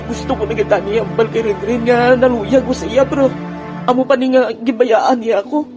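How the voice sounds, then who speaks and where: A woman's voice: on the high side, steady tone, a little fast, over music. A woman acting a lovesick part in an amateur audio drama, playful and teasing, then heartbroken and pleading.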